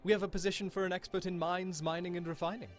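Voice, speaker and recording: A man playing a friendly, eager advocacy group leader making a persuasive pitch in an audio comic. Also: little pitch movement, fast talker, lightly acted. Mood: upbeat. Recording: music behind the voice.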